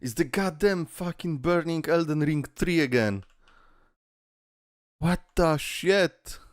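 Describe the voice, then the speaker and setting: A man's voice: on the high side, slow pace. A man giving casual live reaction commentary, blunt, exclamatory and full of swearing, as the host of a reaction video. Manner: animated, exclamatory, blunt and profane. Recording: game trailer music behind the voice. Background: music from the video being watched.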